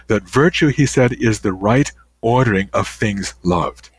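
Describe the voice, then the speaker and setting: An older man, a guest author, answering interview questions in a thoughtful, halting way with many restarts. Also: lowish voice, animated, slightly rough.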